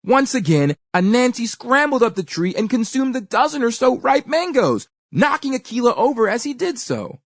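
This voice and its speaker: A woman narrates a scripted children's story. Her delivery is highly expressive, with lively swings of pitch and deliberate emphasis on key words. The sound is dry, with little room echo. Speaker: read-aloud storyteller.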